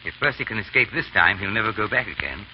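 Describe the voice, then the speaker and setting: A man playing a steady, loyal English gentleman in a 1930s radio drama, measured and grave. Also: fairly low pitch, close to an everyday voice. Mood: grave, reassuring. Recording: muffled, hissy 1930s radio recording.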